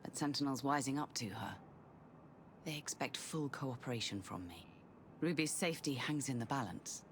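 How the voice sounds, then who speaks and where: A woman's voice: rumbling low, moderate pace, a little hiss or hum. An actress playing a guarded, anxious middle-aged mother, wary and pleading, in a video game. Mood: worried. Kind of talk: video game cutscene acting.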